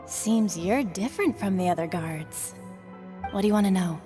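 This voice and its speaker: A woman's voice: medium pitch, animated, moderate pace, natural voice. Woman playing a wary streetwise townswoman in a game, mid voice, offhand and noncommittal, faint music behind. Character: guarded, street-wise townswoman informant. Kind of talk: video game voice acting.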